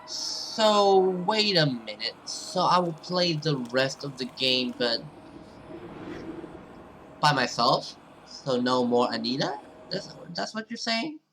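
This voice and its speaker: A man's voice: a little high, big pitch swings, takes it slowly, fairly bright tone, slight background noise, poor audio. A man hosting a gaming video, reading story text aloud dramatically and reacting with casual asides.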